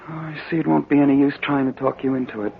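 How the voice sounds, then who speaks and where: An actor playing a smooth, scheming husband, suave and coaxing with calm menace, in 1940s radio drama style. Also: male voice, mid-range voice, quick pace, slight background noise, close to an everyday voice. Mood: coldly menacing. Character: smooth, scheming husband, coldly murderous beneath affection. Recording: dull, muffled old radio broadcast recording.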